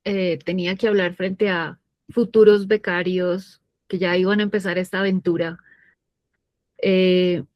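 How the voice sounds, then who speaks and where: A woman's voice: fairly low pitch, level tone, a little slow, no echo, dull audio. A woman interviewer who asks thoughtful, searching questions.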